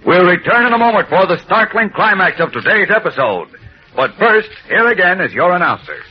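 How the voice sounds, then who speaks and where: A man's voice: sits high, sing-song, fairly quick. A man narrating a 1940s radio adventure serial in a dramatic, urgent way. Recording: dull lo-fi old radio recording with faint music.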